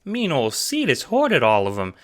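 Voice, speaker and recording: Swooping, creaky little ghost cat. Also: male voice, medium pitch, wildly swooping pitch, moderate pace. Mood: earnest. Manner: earnest, chirpy, polite. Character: sweet eager pink ghost cat sprite, childlike helper. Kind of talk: audio drama acting.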